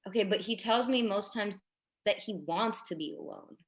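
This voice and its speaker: A woman acts out a tactful grade-school teacher in a contemporary drama reading. Her voice is mid-pitched, and she speaks carefully and apologetically, hesitating often but staying gently persistent. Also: normal speed, close to an everyday voice. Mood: careful, concerned.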